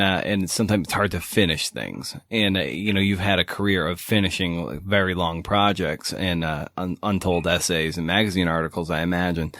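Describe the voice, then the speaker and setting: Low-voiced man hosting a podcast. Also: fairly bright tone, no room sound, clean studio sound. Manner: hesitant, rambling phrasing with many you-knows. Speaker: podcast host. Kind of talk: casual interview questioning.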